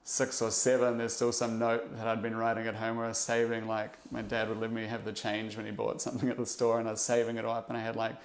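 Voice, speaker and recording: A young man from New Zealand with a New Zealand accent, a podcast guest talking in a reflective, halting way with many fillers and restarts. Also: on the low side, monotone. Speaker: interview guest, investor. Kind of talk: casual podcast interview.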